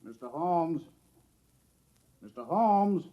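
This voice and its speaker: An actor playing a dutiful American police chief in a 1950s radio drama, official and deferential in manner. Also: male voice, on the high side, expressive, slow pace, muffled recording, very noisy. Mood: businesslike, respectful. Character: dutiful, courteous small-town police chief.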